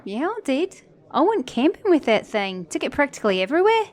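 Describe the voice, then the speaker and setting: A woman's voice: high voice, very animated, brisk. A woman voicing a flirty, sassy, caring mechanic in an intimate ASMR romance roleplay, teasing the listener as her date. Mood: nostalgic, chatty. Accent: British or Australian.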